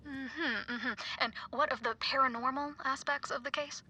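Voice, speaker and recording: A woman playing an eager, self-important teenage girl in a video game, earnest and dramatic, with a husky voice. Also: sits high, steady tone, normal speed, sharp tone, a little hiss or hum. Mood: mock-mysterious. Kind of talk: video game dialogue, mock podcast narration.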